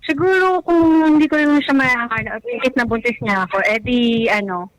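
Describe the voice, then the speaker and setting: A woman's voice: a little high, fairly quick, a little hiss or hum, rough recording. A Filipino woman in her twenties talking casually and a little hesitantly as a caller on a radio show. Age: 20s. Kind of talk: casual, hesitant phone chat.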